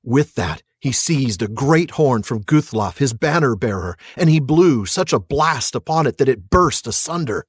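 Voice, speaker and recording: A man's voice: mid-range voice, lively intonation, normal speed, few pauses, breathy edge, no room sound, studio quality. A man hosting a podcast, moving between casual talk and dramatic reading of fantasy prose. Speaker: podcast host.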